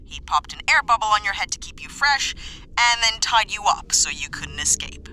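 A woman's voice: mid-range voice, expressive, normal speed, bright, forceful tone, faint music behind, low-quality recording. An actress playing an exasperated, no-nonsense woman captain with a sarcastic, dry way of talking in a sci-fi audio drama.

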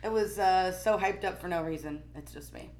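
A woman's voice: on the low side, moderate pace, a little mellow. A woman guest on a podcast in casual chat, teasing and wisecracking.

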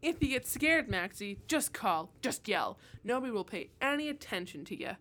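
A woman plays a hard-boiled cop who bullies and mocks a prisoner. The voice is bright and fairly high, delivered gruffly in the style of old radio drama. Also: very animated, moderate pace. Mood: mocking. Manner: gruff, mocking and bullying. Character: hard-boiled sneering male police detective.

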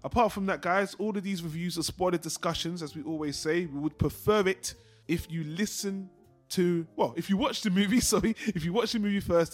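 A man hosts a casual film podcast with a blokey, informal delivery. His voice sits a little higher than most men's. Music plays faintly behind him as he talks. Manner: blokey, informal, swearing banter. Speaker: podcast host.

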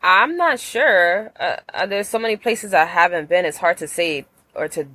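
Low-voiced hesitant woman. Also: lively intonation, normal speed, sharp tone, slightly rough, dry recording. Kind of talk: casual chat.

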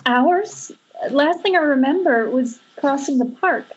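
A woman plays a distraught young horror-film heroine with a high voice and slow, shaken delivery. It comes through a dull, lo-fi internet call. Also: animated. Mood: shaken, confused. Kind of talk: amateur script table read. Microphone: Skype call.

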